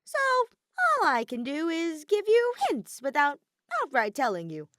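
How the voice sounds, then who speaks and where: A woman's voice: high-pitched, wildly swooping pitch, measured pace, fairly bright tone, no room sound, studio quality, voice pushed up, heavily acted. A woman voicing a mischievous, flippant robotic bear villain in a dramatic audiobook reading, theatrical, grumbling and cartoonish. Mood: dejected. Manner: matter-of-fact, theatrical, grumbling.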